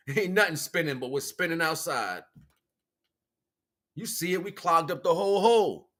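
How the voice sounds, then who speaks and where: A man's voice: a little high, sing-song, a little slow, a bit bright. An American man giving a rambling, emphatic, freeform spiritual monologue in casual street slang, swearing freely. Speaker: livestream host, self-styled spiritual teacher.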